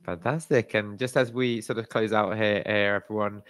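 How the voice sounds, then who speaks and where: A man's voice: lowish voice, steady tone, moderate pace, fairly bright tone, clear, resonant tone, dry recording. A man hosting a live careers webinar, speaking in a friendly way, hedging and repeating words. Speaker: webinar host. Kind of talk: hosting a live online session.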